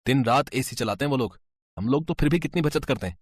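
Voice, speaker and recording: A man's voice: mid-range voice, lively intonation, fast, husky, no room sound. A man voicing a carefree grown son in a cartoon story. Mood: offhand.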